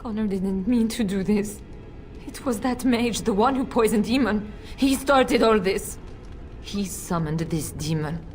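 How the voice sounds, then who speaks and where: An actress voicing a frightened noblewoman in a video game, who pleads haltingly for her son. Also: medium pitch, a little mellow, lightly acted. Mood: pleading. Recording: game audio with faint music.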